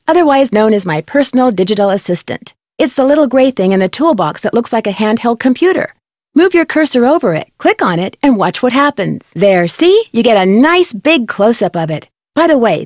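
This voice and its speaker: A woman playing a curious, upbeat young female detective in a video game, speaking clearly in a polite, friendly, inquisitive way. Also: a little high, big pitch swings, fairly quick, a little mellow, dry recording, clean studio sound. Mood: cheerful, instructive. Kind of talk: scripted video game voice acting.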